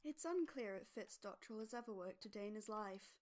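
A woman's voice: mid-range voice, expressive, brisk, a little mellow, natural voice. A woman giving wry scripted narration for an audio drama.

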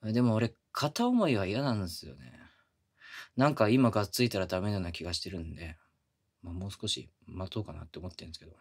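A man's voice: low-pitched, lively intonation, fast, breathy edge, exaggerated voice. A man playing a pushy, flirtatious younger coworker with puppyish eagerness, speaking casually and intimately to the listener in a romantic audio drama. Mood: low, seductive. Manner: casual junior-to-senior speech, teasing and coaxing. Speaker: voice actor in a romantic situation voice drama. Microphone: close binaural studio microphone.

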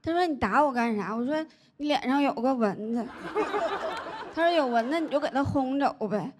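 High-voiced deadpan comedian woman. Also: normal speed. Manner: deadpan storytelling with punchlines. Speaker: stand-up comedian. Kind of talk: stand-up comedy set. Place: large indoor comedy stage. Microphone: handheld mic. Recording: live audience laughter noise. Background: audience laughter.

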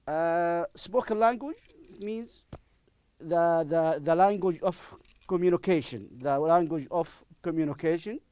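A middle-aged man, a native Arabic speaker with an Arabic accent, presents a recorded English lesson. His voice is slightly high for a man and softly mellow, and he explains deliberately, restating key phrases. The sound is close and very dry. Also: no echo. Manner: deliberate, repeats phrases for emphasis. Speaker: English teacher. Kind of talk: recorded classroom-style lesson. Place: indoor studio in front of a presentation screen. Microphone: lapel microphone.

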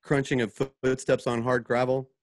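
A man's voice: medium pitch, flat delivery, normal speed. Man narrating stage directions. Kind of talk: scripted narration of sound effects. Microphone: home video call.

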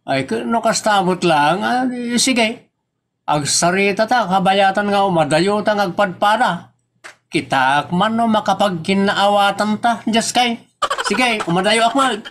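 A man's voice: sits high, unhurried, a bit bright, slight background noise. A man playing an irritable older villager in a comedy drama, grumbling and exclaiming in an Ilocano accent. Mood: irritated.

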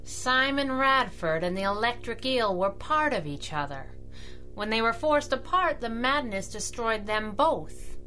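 A woman's voice: a little high, unhurried, faint music behind, low-quality recording. A woman playing a feisty, slangy young masked sidekick in a period-style radio drama. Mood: subdued. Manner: excitable, slangy.

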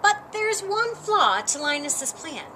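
A middle-aged woman reading a children's picture book aloud with animated expression, acting out the dialogue. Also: extremely high pitch, slow, noisy background. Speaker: storytime reader. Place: outdoor patio with lattice and ivy.

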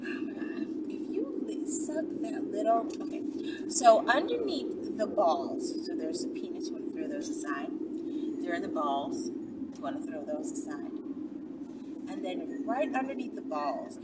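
A woman's voice: medium pitch, a little slow. A young woman telling a story casually and dramatically to the camera, acting out bits as she goes. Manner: dramatic, excitable delivery, acting out crowd shouts and quotes. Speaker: vlogger, storyteller. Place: inside a moving car. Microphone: camera mounted in the car, at about arm's length. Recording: distant mic, loud vehicle road noise. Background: car engine and road noise.

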